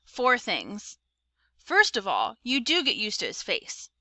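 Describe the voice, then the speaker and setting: Woman as a frank fifth-grade boy, bright voice. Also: medium pitch, wildly swooping pitch, slow, no echo, heavily acted. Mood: frank, casual. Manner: casual, conversational, youthful slang.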